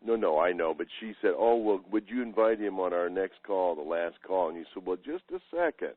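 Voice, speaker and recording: Casting: genial, talkative American man, low register, casual call-in chat, tinny telephone audio. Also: expressive, fairly quick, no room sound. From Oklahoma, United States. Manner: chatty, jovial, chuckles often. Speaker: caller from Oregon. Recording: narrow phone line, radio-like.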